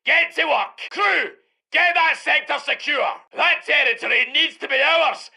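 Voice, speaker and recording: An actor playing a gruff British tank commander in a war video game, barking short military orders in a forceful, gravelly voice. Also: male voice, extremely high pitch, measured pace, full character voice. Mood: brisk, commanding. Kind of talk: shouted military game barks.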